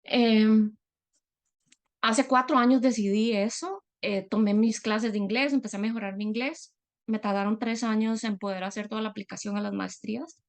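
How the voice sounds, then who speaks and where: A middle-aged woman from Latin America giving a personal talk as a guest speaker, reflective and earnest, often pausing on fillers. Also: a little high, fairly even intonation.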